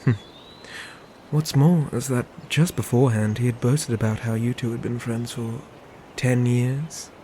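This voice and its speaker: Haughty sadistic male knight, played by a man, medieval fantasy ASMR. Mid-pitched, very measured, formal and sneering, dropping to a hush. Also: fairly even intonation, a little hiss or hum, lightly acted. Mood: scornful. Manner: slow, sneering, formal speech, hushed at phrase ends. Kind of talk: dark fantasy ASMR roleplay acting.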